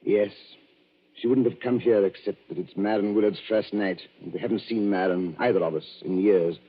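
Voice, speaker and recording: A haunted husband, anxious and clipped in an upper-class way. His slightly husky voice sits in a dull, muffled old radio recording. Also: fairly low pitch, normal speed. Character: nervous, haunted British husband. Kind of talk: 1940s radio drama acting.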